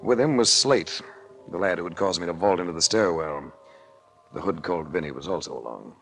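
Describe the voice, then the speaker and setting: A hard-boiled American private investigator in a vintage 1940s radio play. His voice is on the low side, and his delivery is smooth, dry and wry, suave whether he narrates or talks. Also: lively intonation, fairly quick, a bit bright, a little hiss or hum, exaggerated voice. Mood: dry narrating. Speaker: lead actor and narrator of a detective series.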